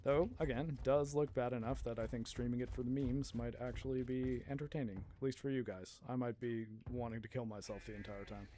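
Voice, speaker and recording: A man streaming a game, rambling in casual commentary with a hoarse voice and frequent laughter. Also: medium pitch, fairly even intonation, fairly quick, few pauses, warm tone, a little hiss or hum. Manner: chatty and rambling, laughs often.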